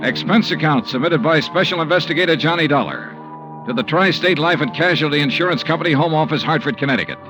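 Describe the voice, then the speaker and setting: A man's voice: on the high side, expressive, natural voice. An actor playing an investigator who dryly reads out his expense account in a 1950s radio drama. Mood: brisk. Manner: brisk, dry itemizing narration. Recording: old radio recording with music.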